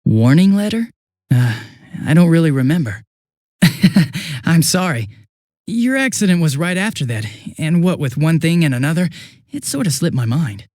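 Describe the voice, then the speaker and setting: A man voicing a young police detective in a video game, affable and easily flustered, with a casual, hesitant and excitable way of talking. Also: fairly high pitch, normal speed, long pauses, no room sound, studio quality. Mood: evasive, casual. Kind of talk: English video game voice acting.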